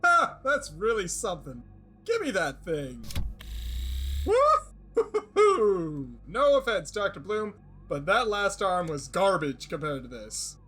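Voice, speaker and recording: A man's voice: high voice, wildly swooping pitch, measured pace, a bit bright, soft background music, heavily acted. A man playing a brash, gleeful young soldier in an audio drama.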